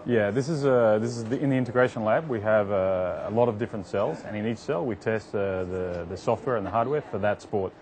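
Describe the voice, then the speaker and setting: A man with an Australian accent, an IT project manager explaining technical work in an interview, halting with frequent restarts. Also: lowish voice, crowd noise. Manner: halting, with many fillers and restarts.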